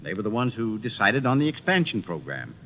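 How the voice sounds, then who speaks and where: A man's voice: fairly low pitch, very animated, brisk, narrow, tinny audio. Indignant, stuffy businessman. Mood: defensive explaining. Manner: emphatic, cutting in, formal. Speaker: actor in a radio drama. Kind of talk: 1950s radio drama acting. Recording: thin, noisy 1950s radio recording.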